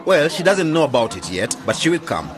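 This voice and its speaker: A man's voice: a little high, very animated, a little fast, music in the background, a crowd in the background. An actor playing an earnest, modest young man who works with a village cooperative in a radio drama. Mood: upbeat, reassuring.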